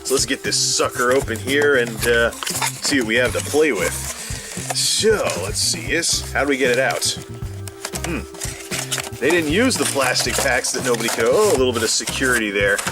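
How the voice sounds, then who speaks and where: A man's voice: mid-range voice, lively intonation, moderate pace, over music. A man hosting a casual, unscripted gadget unboxing, rambling and interrupting himself as he thinks aloud. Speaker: gadget unboxing video host. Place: indoors at a desk, small room.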